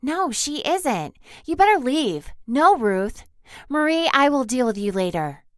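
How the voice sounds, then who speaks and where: Actress as predatory alien in girl's disguise, dry close sound, animated threats, audio drama. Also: sits high, a little slow, no room sound. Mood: cold threatening. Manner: mock-polite, threatening. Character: menacing alien beast disguised as a schoolgirl, sly predatory.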